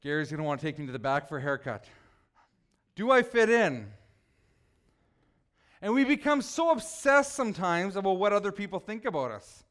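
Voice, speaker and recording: A man preaching a relaxed, conversational sermon, joking with his congregation and teasing people by name. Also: sits high, normal speed, pauses often. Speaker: pastor.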